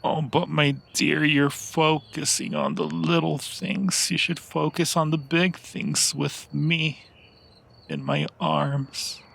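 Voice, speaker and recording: A man playing a centuries-old, yearning and possessive vampire in an improvised ASMR roleplay, speaking to the listener in an intimate, theatrical way with lingering dramatic pauses. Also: fairly high pitch, level tone, creaky, fairly bright tone, exaggerated voice. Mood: amused, courtly.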